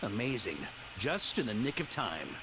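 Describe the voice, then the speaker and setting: An actor playing a confident, easygoing knight commander in a video game, talking in a casual, decisive, lightly wry way. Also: male voice, medium pitch, sing-song, moderate pace, noisy background. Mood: brisk, commanding.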